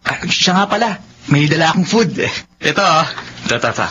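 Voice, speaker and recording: A man acts out a friendly young suitor in a Filipino radio drama. His voice is bright and melodically lively, casual and a little shy. The recording is muffled with faint noise. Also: fairly high pitch, a little fast. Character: friendly young office worker Warren, eager, slightly shy suitor. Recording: dull lo-fi audio with background noise.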